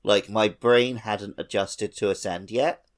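Lower-voiced man, chatty co-host. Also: fairly even intonation, unhurried. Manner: chatty, going off on tangents. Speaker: podcast co-host. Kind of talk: casual gaming chat.

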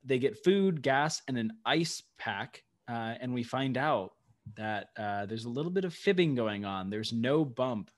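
A man's voice: medium pitch, lively intonation, a little slow. Young male podcast co-host, mid-range voice, loose rambling storyteller. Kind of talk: casual chat.

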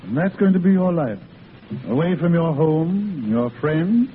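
An actor playing a kindly middle-aged hospital doctor, avuncular, deliberate and probing, in a 1940s radio drama. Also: male voice, fairly high pitch, very animated. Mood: earnest, probing. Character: kindly, fatherly head doctor of a rehabilitation hospital. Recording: tinny, hissy old radio broadcast.

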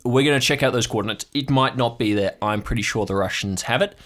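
A man's voice: mid-range voice, fast talker, a bit bright, natural voice. A man playing a chatty, eccentric, conspiracy-minded uncle who tinkers with boats, rambling and blustery in an improvised audio drama. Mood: practical, paranoid. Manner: rambling, blustery, goes off on tangents.

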